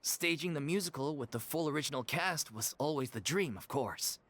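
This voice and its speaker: A man's voice: a little high, lively intonation, normal speed, background noise, close to an everyday voice. A man playing a worried, stern but conciliatory theatre troupe leader in a video game. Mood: composed, polite.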